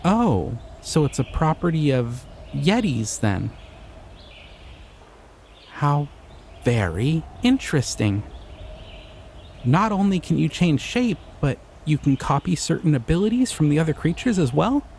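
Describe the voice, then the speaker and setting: A young man voicing a shy, excitable male slime creature in an audio roleplay, talking in an earnest, flustered, giggly way. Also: a little high, unhurried, creaky, long pauses, slight background noise, heavily acted. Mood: curious. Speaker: voice actor.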